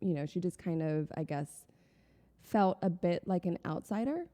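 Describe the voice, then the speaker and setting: Young woman from chicago, teasing and sarcastic, kinda low voice, big reverb. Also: animated, moderate pace, warm tone. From Chicago suburbs, US. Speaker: guest, model and founder. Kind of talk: casual interview conversation.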